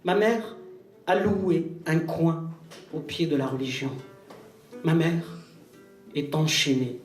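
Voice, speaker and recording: A man recites poetry in a solemn, declamatory style, with measured phrasing and pauses. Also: on the high side. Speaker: poet reciting. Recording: roomy, distant live recital recording. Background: plucked guitar music.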